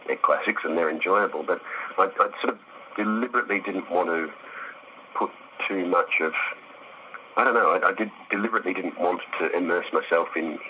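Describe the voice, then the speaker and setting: Middle-aged man, deep bright voice, casual interview answers, self-deprecating, hesitant. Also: fairly quick, slight background noise, rough recording. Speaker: actor, interview guest.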